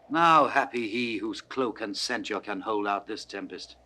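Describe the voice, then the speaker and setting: A man's voice: on the low side, a little slow, bright, forceful tone, heavily acted. An actor playing a stern, suspicious, grief-struck nobleman in weighty, declamatory 1960s Shakespearean style. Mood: troubled, declaiming. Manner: declamatory, weighty verse delivery. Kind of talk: 1960s classical Shakespearean recorded acting.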